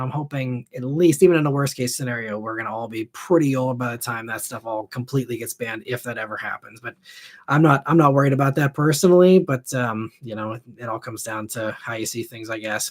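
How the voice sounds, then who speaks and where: A man's voice: medium pitch, brisk. A man in his thirties hosting a live stream, answering viewer questions in a rambling, casual way full of fillers. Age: 30s. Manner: rambling, heavy on fillers like "you know" and "I mean". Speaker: host.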